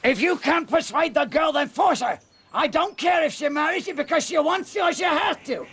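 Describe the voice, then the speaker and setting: A bullying father in a radio drama. His voice is pushed extremely high as he shouts, harsh, overbearing and full of contempt. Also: normal speed. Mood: furious, scornful. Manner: shouting, browbeating.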